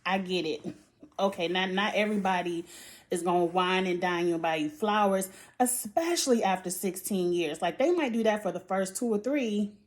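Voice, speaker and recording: A woman with a noticeably high voice chats freely and bluntly about a reality show, sighing and laughing as she goes. Her delivery is animated and conversational, like a talkative vlogger speaking straight to her viewers. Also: moderate pace. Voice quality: high-pitched voice. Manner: animated, outspoken, frequent laughs and sighs. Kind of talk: casual opinionated chat.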